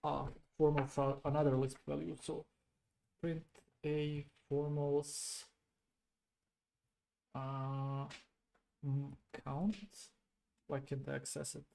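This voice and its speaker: Slow, mellow man muttering while debugging. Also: on the high side, monotone, gravelly, rough recording. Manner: slow, halting, muttering to himself. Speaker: programming streamer. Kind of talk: thinking aloud while debugging code.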